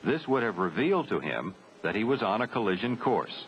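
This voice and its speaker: An American man narrating a 1970s military training film from a script, formal, grave and measured. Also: fairly low pitch, sing-song. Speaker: documentary narrator. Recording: dull 1970s film soundtrack, faint noise.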